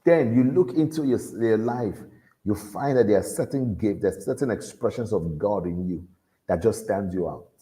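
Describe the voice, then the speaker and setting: Deep-voiced man exhorting. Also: moderate pace, mellow, low-quality recording. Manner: earnest, exhorting, repeats key lines. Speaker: motivational speaker at a youth event. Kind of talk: motivational talk.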